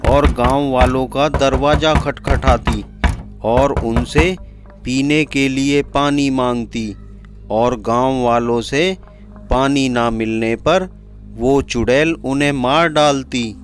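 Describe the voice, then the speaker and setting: A man narrating a scripted horror story in a measured, deliberate manner. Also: mid-range voice, over music.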